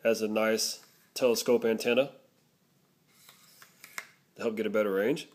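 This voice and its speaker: A man's voice: lowish voice, fairly even intonation, unhurried, darkish tone, slight background noise. A man narrating a casual product review, explaining things step by step.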